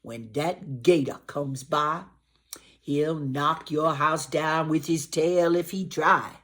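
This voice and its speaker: A storyteller voicing a sensible, stubborn male pig who gives slow, firm warnings. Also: mid-range voice, a bit bright. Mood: stern.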